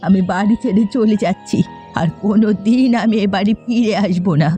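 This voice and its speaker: A woman's voice: medium pitch, fairly quick, mellow, natural voice. Woman voicing an indignant old mother-in-law. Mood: indignant, hurt. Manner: scolding, indignant. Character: aggrieved elderly mother-in-law, quarrelsome and proud. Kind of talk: melodramatic cartoon voice acting. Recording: music behind the voice.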